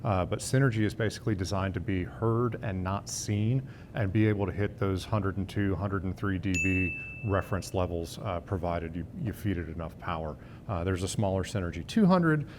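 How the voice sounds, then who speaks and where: A man's voice: normal speed, noisy background. A man in his fifties, a sales director, giving a fluent product rundown in a hotel demo room. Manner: fluent, confident sales pitch.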